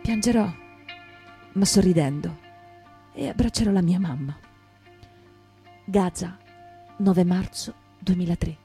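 A woman giving a lyrical, deliberate dramatic reading of diary-like prose, as a stage performer. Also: lowish voice, dark tone, breathy edge. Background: plucked guitar music.

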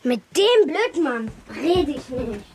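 An actress playing a defiant, sulky little sister in a children's radio play. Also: female voice, high voice, big pitch swings, slow pace, darkish tone, a little hiss or hum, heavily acted.

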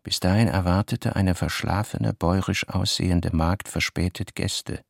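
A man's voice: low-pitched, fairly even intonation, moderate pace, creaky, no echo, studio quality. A man giving a dramatic literary reading for a radio play, often in clipped, note-like phrases. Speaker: literary reader.

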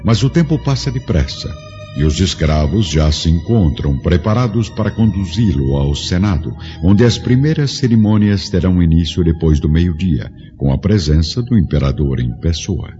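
A man giving measured, grave scripted narration for a radio drama. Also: low voice, lively intonation, dark tone, lo-fi sound, faint music behind.

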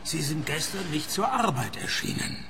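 An actor in a radio play playing a laconic, wisecracking private detective. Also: male voice, fairly high pitch, normal speed, creaky, background noise. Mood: cool probing. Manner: deadpan, laconic. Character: dry, wisecracking private detective narrating his case. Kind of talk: radio play narration and dialogue.

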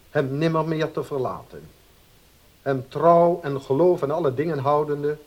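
A formal wedding officiant in a radio play. His voice sits a little high for a man. He declaims with solemn, ceremonial gravity. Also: a little mellow, dull audio. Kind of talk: radio drama acting.

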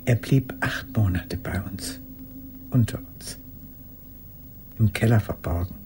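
An actress playing an old woman haunted by a lost love, speaking in a halting, intimate radio drama monologue. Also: very deep voice, darkish tone, faint music behind. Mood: haunted, hushed. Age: older. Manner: halting, intimate, sometimes dropping to a whisper.

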